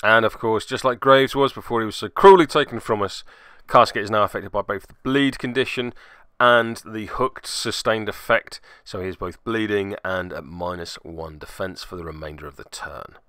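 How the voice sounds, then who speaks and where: A man's voice: lowish voice, normal speed, a bit bright, no echo, studio quality. A man hosting a tabletop miniatures game report, giving semi-scripted play-by-play with wry, playfully mock-dramatic asides.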